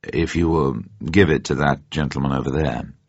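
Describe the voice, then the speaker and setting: A man's voice: extremely low pitch, fairly even intonation, moderate pace, creaky, pitched below the performer's own voice, exaggerated voice. A man voicing a cool, grimly resolute spy at the gambling table in a story reading, controlled and terse. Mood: courteous, cool. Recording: dull, muffled, roomy radio recording.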